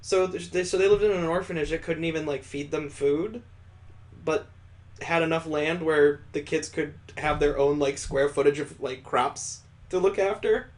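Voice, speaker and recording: A man with a fairly high voice reads a visual novel's narration aloud. He breaks off for wry, casual asides as the host of a let's play. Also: normal speed.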